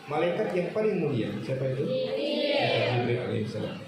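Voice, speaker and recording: A man's voice: medium pitch, very animated, a little fast, loud music behind the voice. A man with an Indonesian accent giving an Islamic lecture to students, didactic, repeating phrases and posing questions to his listeners. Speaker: religious teacher lecturing students. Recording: very echoey room with clear background noise.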